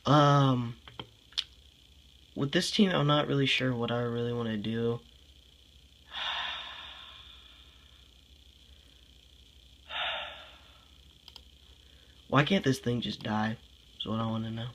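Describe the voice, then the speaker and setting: A man's voice: medium pitch, fairly even intonation, very slow, pauses often, fairly bright tone. A young man with a congested voice giving casual game commentary, thinking aloud, sighing often and sounding frustrated. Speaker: gameplay commentator.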